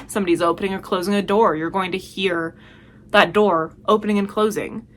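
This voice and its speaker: A woman's voice: mid-range voice, steady tone, a little fast, faint music behind. A woman talking casually as a video host, giving chatty, emphatic and enthusiastic recommendations.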